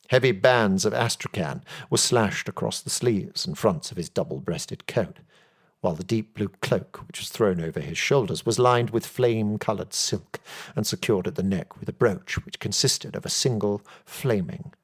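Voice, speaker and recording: A man narrates a classic story as an audiobook reader. His voice is fairly low, with a distinctly husky texture. The delivery is scripted literary narration.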